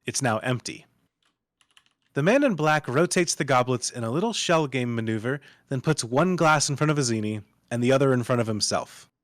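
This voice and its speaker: A man's voice: mid-range voice, animated, normal speed, no room sound, studio quality. A man working as narrator, reading out scripted stage directions for a screenplay table read.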